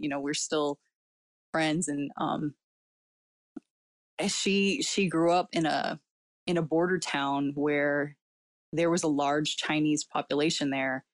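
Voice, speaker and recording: A woman's voice: low voice, fairly even intonation, unhurried, no echo. A woman whose first language was Cantonese, speaking in a casual interview and reflecting at length with many fillers and frequent laughs. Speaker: guest. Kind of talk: casual personal interview talk.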